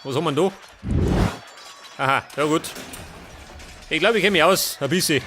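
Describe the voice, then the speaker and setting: A man's voice: fairly high pitch, moderate pace, bright, forceful tone. A man with a Bavarian accent giving casual let's play commentary, often muttering questions to himself. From Bavaria, Germany. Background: game music.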